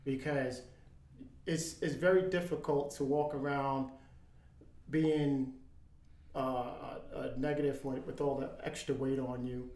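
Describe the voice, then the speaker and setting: A man in his fifties speaking in casual chat as a podcast guest, earnest and halting, with many ums and restarts. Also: medium pitch, steady tone, darkish tone, roomy sound. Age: 50s.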